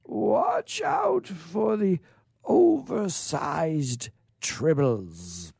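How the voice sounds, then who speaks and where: A man voicing a mysterious old man in a storybook read-aloud, giving ominous, drawn-out warnings. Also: a little high, big pitch swings, gravelly, a little mellow, slightly rough, no room sound, studio quality, pitched above the performer's own voice, heavily acted.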